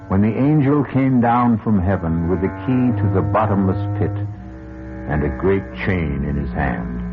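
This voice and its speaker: Male radio drama host. Low, theatrical, musing delivery over music, very muffled vintage recording. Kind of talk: dramatic old-time radio hosting. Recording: muffled old radio broadcast.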